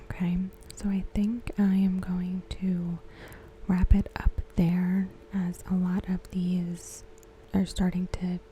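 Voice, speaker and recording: A woman giving ASMR commentary in a soft, breathy whisper, hushed and measured. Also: lowish voice, flat delivery, slight background noise, low-quality recording. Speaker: ASMR host reviewing a TV episode. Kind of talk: ASMR commentary, softly spoken.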